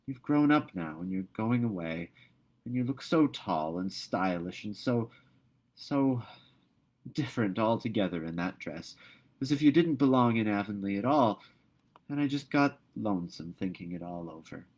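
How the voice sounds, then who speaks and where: A man voicing a reserved older woman who speaks plainly and haltingly, with wistful feeling. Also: lowish voice, lively intonation, a little mellow.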